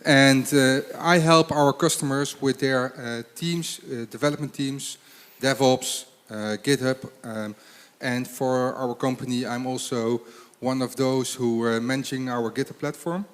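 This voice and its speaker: A man, a consultant, presenting at a conference, speaking haltingly with many fillers and restarts. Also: mid-range voice, fairly even intonation. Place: conference hall with audience. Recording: long hall reverb.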